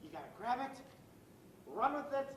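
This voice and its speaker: A man's voice: very high voice, normal speed. A man playing a cocky, joking teenage buddy and would-be comedian in a declamatory amateur stage play. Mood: teasing. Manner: animated, declamatory. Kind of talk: amateur one-act stage play acting. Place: auditorium stage, miked from far off. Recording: echoey distant stage recording with noise.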